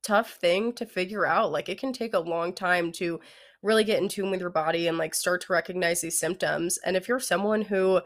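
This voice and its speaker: A woman's voice: lowish voice, normal speed. A young woman with a head cold, her voice nasal and congested, chatting casually as a fitness coach giving advice on her podcast with lots of "like" and "you know".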